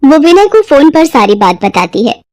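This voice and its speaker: A woman tells a scripted tale as narrator. Her voice is high, and the recording is dry and close, with almost no room sound. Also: big pitch swings, moderate pace, darkish tone, no echo. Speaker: storyteller.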